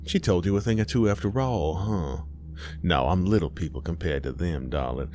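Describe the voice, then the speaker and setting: Man voicing a deep, sly ancient demoness. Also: mellow, a little hiss or hum, close to an everyday voice. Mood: sly, taunting. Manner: taunting, theatrical, drawn-out phrasing. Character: sly ancient female demon wearing a mother's face.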